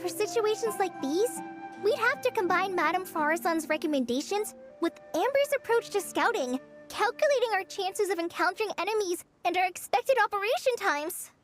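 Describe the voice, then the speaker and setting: Earnest, high-voiced teenage girl. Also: expressive, normal speed, faint music behind. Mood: earnest, explanatory. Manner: polite, careful, explanatory. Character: earnest, polite teenage girl, student project leader. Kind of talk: anime-style video game dialogue.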